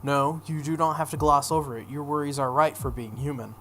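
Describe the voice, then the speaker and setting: A man's voice: a little high, steady tone, fairly quick, a bit bright. Man voicing an ominous, solemn priest. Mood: solemn, probing. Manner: solemn, oracular. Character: ominous male priest delivering grave pronouncements. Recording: noticeable background noise.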